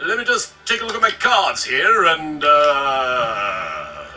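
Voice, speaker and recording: High, bright, cocky cartoon duelist. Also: male voice, very animated, slow pace, recorded from a distance, soft background music, exaggerated voice. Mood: flustered. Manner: theatrical, boastful. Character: cocky, goofy young male duelist-pharaoh in a parody cartoon. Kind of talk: comedic anime parody dub.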